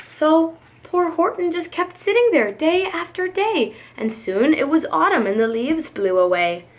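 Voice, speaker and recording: A woman reading a storybook to young children as a preschool reader, with dramatic, deliberate phrasing. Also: sits high, animated.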